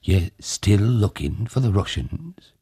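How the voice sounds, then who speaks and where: A man voicing an excitable old village man who fusses over his guests, eager and stammering. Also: on the low side, slow pace, gravelly, husky, voice pushed up, heavily acted. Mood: incredulous.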